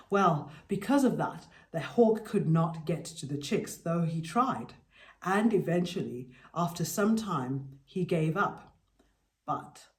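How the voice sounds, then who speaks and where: A woman storyteller narrating a folktale expressively, with deliberate, dramatic phrasing and emphatic pauses. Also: lowish voice, a little mellow, roomy sound. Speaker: storyteller, podcast host.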